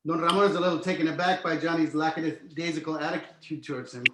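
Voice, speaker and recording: A man's voice: fairly high pitch, steady tone, fast, a bit bright, a little hiss or hum. A man reading scripted stage directions as narrator of a screenplay table read. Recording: echoey room.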